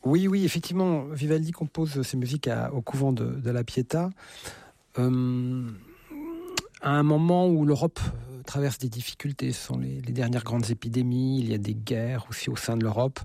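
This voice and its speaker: A man's voice: medium pitch, normal speed, vocal fry, dark tone, no echo. A man interviewed on radio as a conductor, speaking with many hesitations and repeated words. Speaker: conductor, festival guest.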